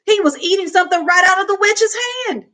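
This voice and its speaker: A young woman reading a children's book aloud at home into a webcam, with slow, expressive storytelling. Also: very high-pitched. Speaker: reader. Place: home room, indoors.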